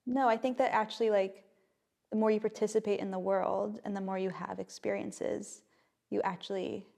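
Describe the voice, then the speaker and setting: A soft-voiced woman, a playwright guest on a podcast, talking in a hesitant, reflective way with many fillers and restarts. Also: medium pitch, steady tone, moderate pace, creaky. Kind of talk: casual podcast conversation.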